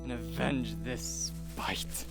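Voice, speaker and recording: A man's voice: mid-range voice, flat delivery, slow pace, creaky. A man playing an angry, weary young lover, declaiming in a Shakespearean audio drama. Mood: frustrated, weary. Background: loud guitar music.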